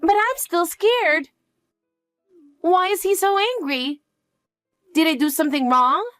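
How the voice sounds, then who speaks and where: A woman's voice: very high-pitched, animated, slow, fairly bright tone, no room sound, clean studio sound, faint music behind, voice pushed up, heavily acted. A woman voicing a frightened little girl who clings to her mother with pleading questions. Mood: scared. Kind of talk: dramatic dialogue.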